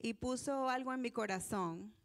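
A woman with a fairly high voice speaks publicly from a church stage. She addresses the congregation in the role of host and interpreter, delivering each phrase for the people seated before her. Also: moderate pace.

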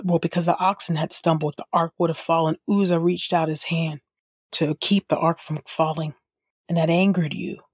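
A woman's voice: deep voice, breathy edge, no room sound, clean studio sound. A woman podcast host praying aloud in an earnest, fervent devotional style with repeated invocations. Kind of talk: spontaneous spoken prayer, devotional.

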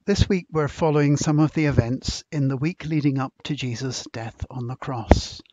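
A man, a church minister, reading a welcome, scripture and prayers in a solemn, measured, deliberate manner. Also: medium pitch, warm tone, no room sound, dull audio. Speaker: church minister leading an online service.

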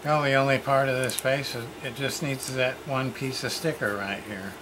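A man's voice: medium pitch, fairly even intonation, normal speed. A middle-aged man chatting casually during an unboxing at home, muttering to himself in short fragments. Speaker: hobbyist unboxing radio parts. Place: cluttered room at home, indoors. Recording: clearly noisy room recording.

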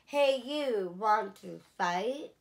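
A woman's voice: mid-range voice, lively intonation, very slow, gravelly, heavily acted. A woman voicing a grouchy, boastful little ladybug that brashly calls out for a fight, from a children's storybook. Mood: brash challenge. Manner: brash, challenging, calling out.

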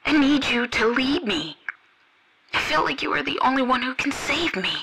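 A woman playing a spellbound, worshipful woman in a dramatized audio story, with a breathy, pleading and devoted delivery. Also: medium pitch, a little slow, bright, forceful tone, slight background noise. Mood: tearful pleading.

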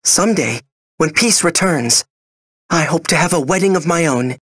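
Casting: an earnest young prince groom for a game, formal and modest, lively intonation, close dry recording. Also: female voice, low-pitched, normal speed, breathy edge, no echo. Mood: hopeful. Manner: courteous, formal. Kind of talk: video game character acting.

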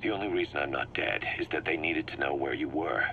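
A man's voice: very deep voice, fast, creaky. A man playing a driven, shrewd mercenary commander in a video game, briefing his boss in an emphatic, assured way. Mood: grim. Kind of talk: scripted video game dialogue, explaining plans. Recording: muffled cassette-like sound with background hum.

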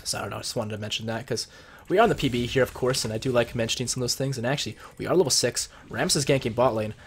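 A young man with a cold, sounding a bit husky and deeper, casually explaining strategy as he plays and comments on a video game at his computer. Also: rapid-fire, a little hiss or hum. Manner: chatty, explains strategy while playing. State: sick with a cold. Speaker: gameplay commentator. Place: home room at his computer.

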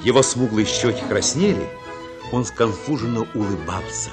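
A reflective man narrates his childhood memories in a radio play acted by a man. The voice is fairly low and moves expressively, with some music around it. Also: expressive, moderate pace, lightly acted.

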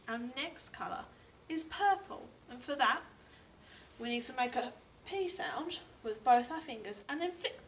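Young female primary teacher, bright delivery, clear simple prompts for children, recorded indoors on a distant camera mic. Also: high voice. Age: young adult. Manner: simple step-by-step instructions with repeated prompts. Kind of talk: teaching signs and reading a picture book to young children. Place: indoors in a school reading corner. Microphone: camera a few feet away. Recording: distant mic with background room noise.

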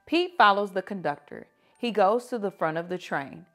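A woman reading picture books aloud to children like a teacher, with animated, drawn-out emphasis. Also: medium pitch, soft background music. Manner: animated, drawn-out emphasis, asking listeners questions. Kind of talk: expressive children's story read-aloud.

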